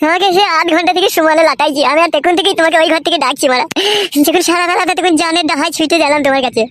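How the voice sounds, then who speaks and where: Whiny, high-voiced henpecked cartoon husband. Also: female voice, rapid-fire, a bit bright, slight background noise. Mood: cross, insolent. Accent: rural West Bengal. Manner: whining, complaining, coarse-tongued. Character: henpecked, whining, foul-mouthed young husband. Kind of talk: comedy cartoon dialogue.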